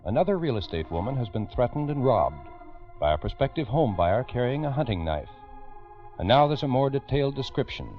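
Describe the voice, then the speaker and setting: A man's voice: mid-range voice, big pitch swings, a little fast, soft background music. A man narrating a scripted old-time radio crime story in a formal, dramatic way. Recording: muffled old radio recording.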